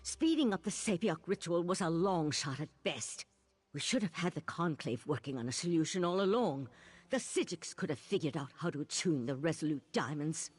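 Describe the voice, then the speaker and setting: Husky, earnest female mage. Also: low-pitched, lively intonation, normal speed, darkish tone. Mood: troubled, uncertain. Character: earnest female mage of a magical order, troubled, decisive. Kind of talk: fantasy video game acting.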